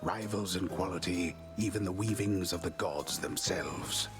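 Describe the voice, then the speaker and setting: A man playing a solemn epic-poet storyteller in a video game, narrating in ornate, measured phrases. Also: low voice. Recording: loud music underneath.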